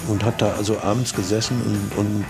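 Casting: male interviewee, slightly low voice, casual hesitant delivery over music. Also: little pitch movement, a little fast. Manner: hesitant, searching for words. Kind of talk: casual, unscripted recollection.

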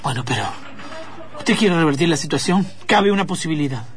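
A man's voice: a little high, lively intonation, brisk, lightly acted. A man playing a timid, deferential young student who talks hesitantly and apologetically in improvised radio theatre. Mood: hushed, revealing. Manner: hesitant, apologetic, repeats words.

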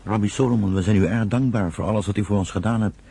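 Husky, deep, determined young refugee. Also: male voice, fairly even intonation, fast, warm tone. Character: determined, respectful young male refugee, planning escape. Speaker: actor in a radio play. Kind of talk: Dutch radio drama acting.

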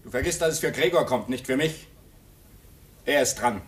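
A man's voice: medium pitch, expressive, moderate pace, slightly rough. A man in his twenties acting in a 1950s radio drama as a restless young station worker, impatient and sarcastic, his voice climbing when he gets worked up. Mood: bitter. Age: 20s.

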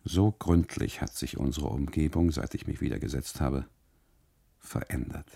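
A man's voice: extremely low pitch, normal speed, creaky, dark tone, slightly rough. A man performing a first-person literary monologue for radio, with theatrical, emphatic phrasing.

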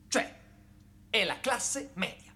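A man's voice: sits high, expressive, slow, husky. A man performs a theatrical satirical monologue in a dramatic, colloquial, sardonic storytelling manner, leaning on rhetorical repetition. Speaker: stage monologist. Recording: loud live guitar music accompaniment. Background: plucked guitar music.

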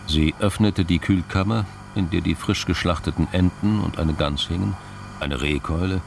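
A man's voice: deep voice, vocal fry, background noise. A man delivering scripted suspense narration as the narrator of a radio drama.

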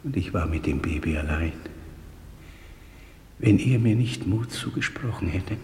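An older man playing a timid, humble old porter in a vintage German radio play, halting and deferential. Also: low voice, animated, darkish tone, slight background noise. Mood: grateful, wistful. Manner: halting, deferential, breaking into whispers. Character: humble, timid old messenger, poor widower. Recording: echoey vintage radio play, long reverb. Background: music.

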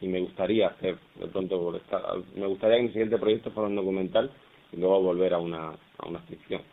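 A man, a film director, answering at a press conference in a halting way, often repeating words as he thinks. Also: deep voice, steady tone, creaky. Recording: muffled phone-like press conference audio.

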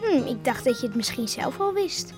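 High-voiced teasing young girl, radio drama, music bed. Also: very animated, moderate pace. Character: young village girl Tijne, curious and teasing.

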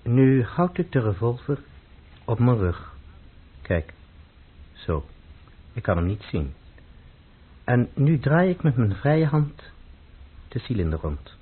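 An actor playing a grave, troubled man in a radio play, speaking formally and deliberately with a husky voice. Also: mid-range voice, very animated, full character voice. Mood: calmly instructing. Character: grave, troubled man obsessed with purity, calmly instructing. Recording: muffled, phone-like old radio recording.

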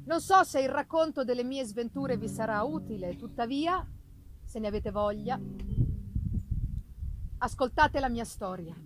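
A woman voicing a sorrowful ruined gentleman who tells his life story in a grave, formal, deliberate way, in a dramatic novel reading. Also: on the high side. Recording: noticeable background noise, live performance reading.

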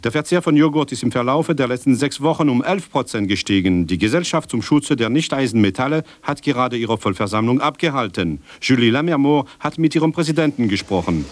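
A man playing a straight-faced radio newsreader, reading a formal bulletin in a measured, official way. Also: mid-range voice, lively intonation, lightly acted. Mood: deadpan.

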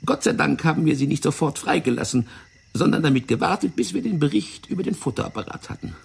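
An actor in a German radio play playing a fat, wily, self-righteous French intelligence officer, explaining things in a theatrical, condescending way. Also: male voice, a little high, expressive, fast talker, vocal fry, breathy edge, full character voice. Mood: smug, explanatory. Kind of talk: German radio drama acting.

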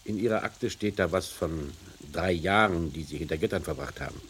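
An actor playing a brusque, suspicious company director in a German radio crime drama, curt and probing. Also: male voice, sits low, fairly quick, a bit bright. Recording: old radio play, faint background noise.

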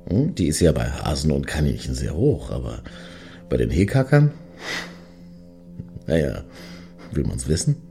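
Sardonic, deep, creaky-voiced man. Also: huge pitch swings, unhurried, pauses often, mellow, soft background music, full character voice. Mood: mocking. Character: crude, cynical ex-boyfriend in a satirical radio play. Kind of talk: German radio play dialogue.

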